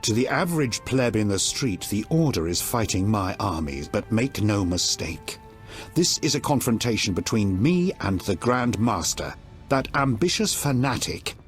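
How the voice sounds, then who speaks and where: Blunt domineering king, lively intonation. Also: lowish voice, moderate pace, soft background music. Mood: irritated. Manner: blunt, earthy, authoritative. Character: gruff, foul-mouthed, domineering middle-aged king. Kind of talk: video game acting.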